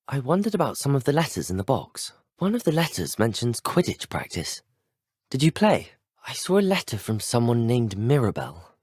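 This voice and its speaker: A man's voice: mid-range voice, darkish tone, dry recording, clean studio sound. A man voicing an earnest, polite young student wizard in a video game, with a British accent and courteous, articulate delivery. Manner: courteous, articulate, formal phrasing. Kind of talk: scripted video game dialogue, isolated voice clips.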